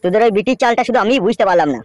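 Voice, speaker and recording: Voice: mid-range voice, rapid-fire, darkish tone, no echo, clean studio sound, lightly acted. A voice actor playing a naive, nosy, crude village man in a comedy cartoon, talking in a familiar, inquisitive way. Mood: curious. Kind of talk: amateur Bengali comedy cartoon dialogue.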